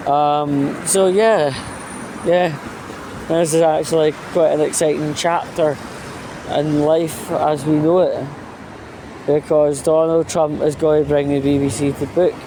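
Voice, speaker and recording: Male vlogger, unhurried and halting, emphatic and foul-mouthed, informal rant, noticeable street-like background noise. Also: fairly high pitch, flat delivery. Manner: blunt, sweary, emphatic. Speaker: vlog host.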